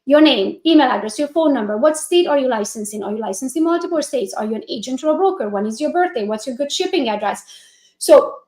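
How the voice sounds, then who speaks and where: High-voiced Hungarian-accented woman coach. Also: fast talker, echoey room. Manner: energetic, chatty, addresses viewers directly. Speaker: real estate coach. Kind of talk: coaching walkthrough on a live stream.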